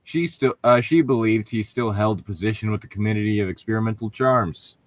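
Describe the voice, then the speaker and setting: A man's voice: lowish voice, a little fast. A man reading a story aloud as a co-host, breaking off for sarcastic, irritable, profane commentary and casual banter. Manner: sardonic, irritable, profane heckling.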